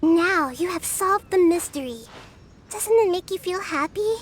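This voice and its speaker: Serene, mysterious bird spirit. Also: female voice, very high voice, animated, unhurried. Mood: serene, admiring. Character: mysterious gentle female bird spirit watching from afar. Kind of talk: video game voice acting.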